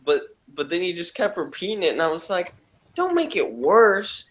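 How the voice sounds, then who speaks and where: Slightly high-pitched man, casual chat. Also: sing-song, moderate pace. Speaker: podcast panelist. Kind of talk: casual chat about a TV show.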